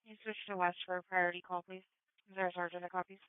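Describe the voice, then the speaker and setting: Low-pitched American female dispatcher on tinny radio. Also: level tone, rapid-fire, clear, resonant tone, no room sound. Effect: police radio transmission. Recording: narrow-band police scanner radio audio.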